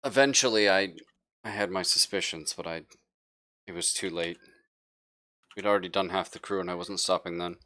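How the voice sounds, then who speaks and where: A man playing a desperate, guilty crewman who confesses in halting, defensive bursts in a tabletop game. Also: low-pitched, pushed and bright, no room sound. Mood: shaken, confessing. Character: desperate, guilty male crew member, gasping and pleading.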